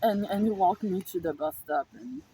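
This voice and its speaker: A woman's voice: medium pitch, lively intonation, normal speed, mellow, a little hiss or hum, low-quality recording. A woman vlogger telling a personal story in a casual monologue, emphatic and repetitive, swearing freely. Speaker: spiritual vlogger.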